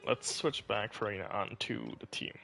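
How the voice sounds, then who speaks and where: A man's voice: fairly low pitch, big pitch swings, moderate pace, creaky, sharp tone, faint music behind. A man streaming a game, giving casual commentary and thinking aloud.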